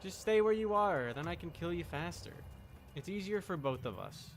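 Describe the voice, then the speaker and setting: A man's voice: high voice, lively intonation, normal speed, slight background noise. A man giving casual, offhand live commentary as he plays a video game, muttering to himself and playfully taunting enemies.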